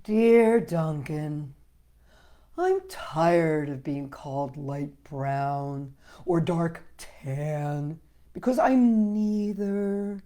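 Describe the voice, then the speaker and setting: A woman's voice: sits low, expressive, very slow, warm tone, voice pushed down, exaggerated voice. A woman voicing a proud, slighted crayon grumbling about being second-best in a storybook read-aloud. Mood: aggrieved, proud.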